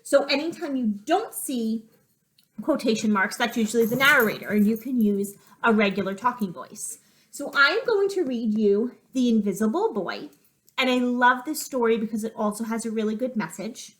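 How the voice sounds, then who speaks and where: A woman with a somewhat high-pitched voice speaks as a teacher to young children. She moves between reading a picture book as narrator and explaining it in plain, simple phrases. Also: lively intonation, normal speed. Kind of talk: reading lesson with storybook read-aloud and asides.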